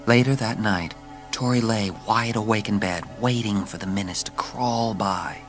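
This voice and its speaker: A man narrating a children's story as scripted audiobook, with measured, dramatic storytelling. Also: on the low side, soft background music.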